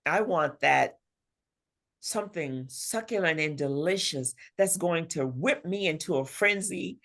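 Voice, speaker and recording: Lady author being interviewed, kinda low voice, chatty and expressive, slips into little acted-out quotes. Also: unhurried. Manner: expressive, acts out imagined dialogue. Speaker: interview guest, romance author. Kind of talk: conversational interview, animated storytelling.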